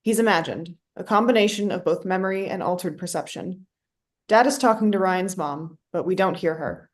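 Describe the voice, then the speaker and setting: A woman's voice: fairly low pitch, moderate pace. A woman reading stage directions as scripted narration for a play.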